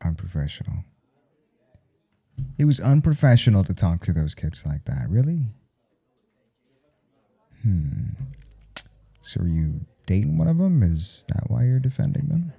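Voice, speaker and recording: A man playing a flustered, jealous professor in an intimate audio roleplay, speaking haltingly and gruffly with a gravelly voice and plenty of swearing. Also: fairly low pitch, big pitch swings, warm tone, exaggerated voice. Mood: defensive, jealous. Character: jealous, flustered male professor smitten with his teaching assistant.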